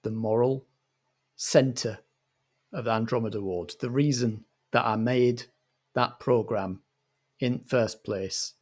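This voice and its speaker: Pompous writer-director, played by a man, husky mid-range voice, weighty pauses, mockumentary interview. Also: medium pitch, a little mellow. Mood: condescending, grave. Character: pompous, self-important male TV writer-director being interviewed.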